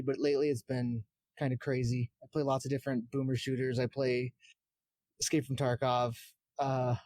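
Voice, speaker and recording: A man's voice: medium pitch, a little mellow, dry recording. Man chatting casually, close dry sound. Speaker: podcast guest, game streamer. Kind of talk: casual gaming chat.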